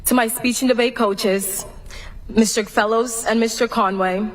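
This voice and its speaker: A young woman from Cameroon who is her class president, giving an earnest, measured graduation speech read from a prepared text. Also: on the high side, steady tone, poor audio. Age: young adult. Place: large graduation venue.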